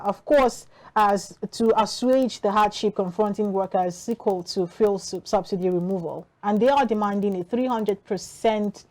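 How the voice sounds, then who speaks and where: A woman's voice: medium pitch, a little mellow, rough recording. A woman with a Nigerian accent, reading news and interviewing formally as a morning television host.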